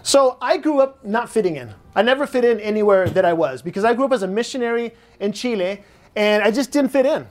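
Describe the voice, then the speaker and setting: A man's voice: high voice, expressive, brisk, darkish tone. Middle-aged American man, pastor, easygoing conversational sermon delivery. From United States. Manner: conversational preaching with repeated phrases. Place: church sanctuary.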